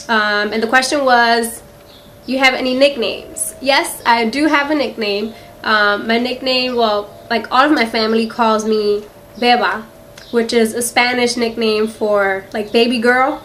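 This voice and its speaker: Young woman, Philly accent, slightly high voice, rambling chatty vlogger; faint room noise behind. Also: a bit bright. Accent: Philadelphia. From Philadelphia, USA. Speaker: beauty vlogger. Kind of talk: casual Q&A vlog to camera. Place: quiet room in a house.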